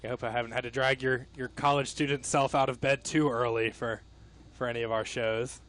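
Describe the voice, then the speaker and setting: A man giving casual live color commentary on a baseball broadcast, sometimes halting and repeating words. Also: medium pitch, little pitch movement, a bit bright.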